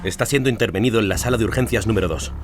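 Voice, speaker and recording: Male actor as grave dutiful official, fairly low voice, quick formal delivery, music behind. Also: close to an everyday voice. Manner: formal, clipped. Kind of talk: audio drama acting.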